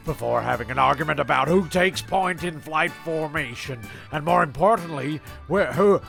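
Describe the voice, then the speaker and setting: A man's voice: a little high, vocal fry, pushed and bright. A man who hosts and narrates a homemade comedy, rambling and getting worked up as he goes. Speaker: narrator and host. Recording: homemade recording with music behind.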